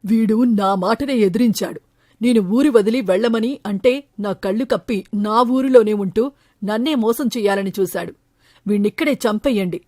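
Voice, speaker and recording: A woman's voice: mid-range voice, moderate pace, dark tone, no room sound, studio quality, natural voice. A woman voicing a harsh, proud village landlord and father in a storybook tale, emphatic and commanding. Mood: angry, scornful. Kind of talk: storybook character acting.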